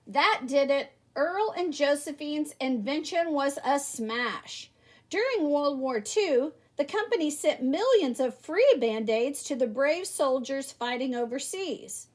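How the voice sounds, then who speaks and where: High-pitched, animated woman reading a storybook. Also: slow. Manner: animated, emphatic, addresses young listeners directly. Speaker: storybook reader. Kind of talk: expressive children's read-aloud.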